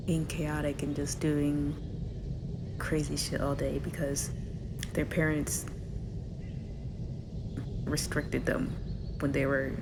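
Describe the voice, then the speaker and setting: Woman playing a low-voiced, sulky young dragon girl. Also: creaky, noisy background, full character voice. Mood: defiant, grumbling. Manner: hesitant, rambling, sullen teenage phrasing. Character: sulky, insecure teenage dragon girl, partly scaled, rebellious. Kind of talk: ASMR roleplay, naturalistic one-sided dialogue.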